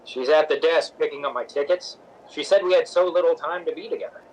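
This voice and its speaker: A man's voice: a little high, brisk, darkish tone, a little hiss or hum, lightly acted, low-quality recording. A man acting a brash, opinionated stage director in a 1950s-style radio drama, teasing and emphatic. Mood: breezy. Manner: wisecracking, emphatic, lecturing.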